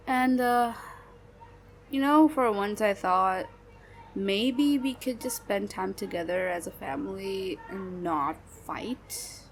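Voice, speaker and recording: A sad young woman who hides her hurt behind a halting, unhurried way of talking, trailing off as she goes, played by a woman in an audio roleplay. Her speech is slow and hesitant, with nervous little laughs. A faint background noise sits under her voice. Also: medium pitch. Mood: forced cheer. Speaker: voice actor.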